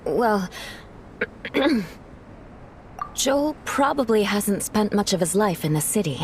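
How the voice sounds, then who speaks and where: A woman's voice: mid-range voice, very animated, slow pace, a little mellow, slight background noise. A woman playing a refined, haughty but secretly kind young noblewoman knight, speaking formally in a video game. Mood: reserved, confiding.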